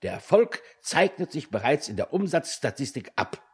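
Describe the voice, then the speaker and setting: An actor playing a pompous, self-satisfied general director giving a declamatory boardroom speech in a radio play, husky-voiced. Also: male voice, a little high, big pitch swings, moderate pace, heavily acted. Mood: pompous, pleased.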